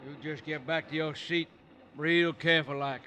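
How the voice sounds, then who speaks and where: An actor playing an aggrieved, folksy small-town sheriff, in 1950s radio style. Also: male voice, a little high, steady tone, moderate pace, close to an everyday voice. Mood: stern, irritated. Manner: folksy, stern. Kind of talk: 1950s radio western acting. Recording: noisy vintage radio recording.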